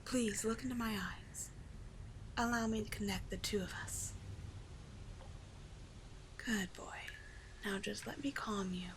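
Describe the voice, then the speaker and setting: A woman voicing a doting, teasing sea witch mermaid in an ASMR roleplay, soft and breathy, often near a whisper, with soothing, formal storybook phrasing. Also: medium pitch, measured pace, pauses often, a little hiss or hum, lightly acted, low-quality recording. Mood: calming.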